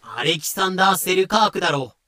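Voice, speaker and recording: A man's voice: high-pitched, animated, fast talker, bright, forceful tone, dry recording, clean studio sound. A man delivering scripted dramatic narration as the storyteller.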